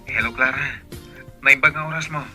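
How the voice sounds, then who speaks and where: A man's voice: mid-range voice, steady tone, moderate pace, bright, forceful tone, soft background music. A man playing an earnest, lovestruck young village man in a radio-style story drama. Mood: courting.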